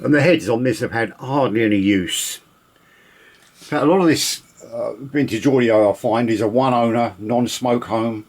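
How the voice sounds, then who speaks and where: A man's voice: medium pitch, moderate pace. Man chatting casually over noticeable background noise. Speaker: presenter demonstrating vintage audio gear. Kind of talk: casual unscripted product demonstration.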